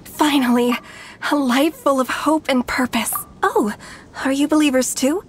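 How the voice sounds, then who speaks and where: An actress playing an earnest, hopeful and devout young bride-to-be in a video game. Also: female voice, high-pitched, a little slow, slight background noise. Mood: fervent hope. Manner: earnest, fervent.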